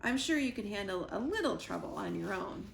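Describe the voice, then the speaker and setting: A woman's voice: mid-range voice, very animated, brisk, gravelly, echoey room, slight background noise. A woman voicing a weary, dry-humored retired male search-and-rescue dog narrating in first person.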